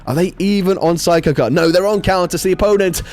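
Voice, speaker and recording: A man's voice: sits high, a little mellow. Man commentating a game, sore throat. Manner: brisk play-by-play with frequent fillers. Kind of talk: gameplay commentary.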